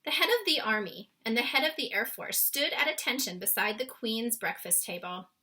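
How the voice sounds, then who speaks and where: Very bright, animated woman storyteller. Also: on the high side, normal speed. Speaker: storybook reader. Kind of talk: expressive read-aloud narration.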